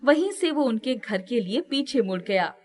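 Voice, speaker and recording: A woman narrating a scripted children's moral story with expressive delivery. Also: a little high, normal speed.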